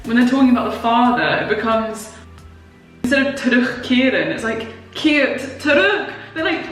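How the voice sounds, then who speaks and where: A young woman vlogging, excitable and chatty, laughing often as she talks. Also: fairly high pitch, moderate pace. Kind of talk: casual vlog chat. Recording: roomy echo with music behind.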